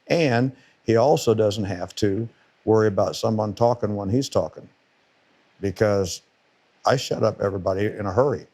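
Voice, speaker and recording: Emphatic low-voiced middle-aged coach. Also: male voice, normal speed, warm tone. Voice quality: powerful voice. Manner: emphatic, repeats words for stress. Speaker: football defensive coordinator. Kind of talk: casual podcast conversation.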